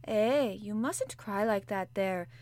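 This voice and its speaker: A woman's voice: fairly high pitch, lively intonation, normal speed, no room sound, lightly acted. Woman doing a Yorkshire housemaid. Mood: coaxing. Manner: broad dialect, plain-spoken and homely. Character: blunt, good-natured young Yorkshire housemaid.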